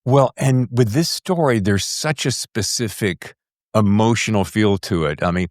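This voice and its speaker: A man's voice: on the low side, measured pace, no room sound, clean studio sound. A man interviewing a guest as a podcast host, chatting conversationally and acting out quoted remarks as he goes. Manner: animated, acts out quoted remarks.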